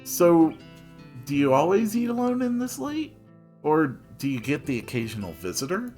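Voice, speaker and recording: A man's voice: fairly high pitch, sing-song, moderate pace, a little mellow, faint music behind, pitched above the performer's own voice. A man playing an earnest, awkward teenage schoolboy in a visual novel, emphatic and self-conscious. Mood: forced small talk. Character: earnest, awkward teenage boy, new student.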